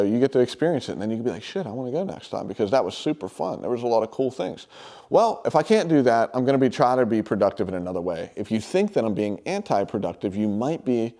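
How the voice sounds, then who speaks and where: A young man in an unscripted monologue to his viewers, blunt and animated, cursing freely and circling back to his points. His voice is mellow and dark-toned, sitting at an ordinary middle pitch. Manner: blunt, animated, swears freely, repeats himself. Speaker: dirt bike content creator. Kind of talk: casual monologue to camera.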